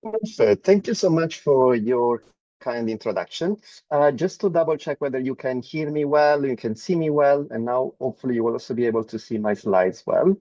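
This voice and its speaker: A man's voice: mid-range voice, normal speed, warm tone, no echo. A man with an Italian accent, a medical oncologist lecturing over a video call, hesitant with frequent fillers and restarts. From Italy. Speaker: medical oncologist presenting a webinar. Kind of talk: academic webinar lecture. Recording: dull lo-fi call audio.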